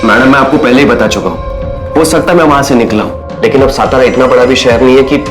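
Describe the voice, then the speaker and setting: A man's voice: medium pitch, fast talker, natural voice. An actor playing a defensive, street-wise small-time crook protesting his innocence in a TV crime drama. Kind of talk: Hindi TV crime drama acting. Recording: loud dramatic music behind.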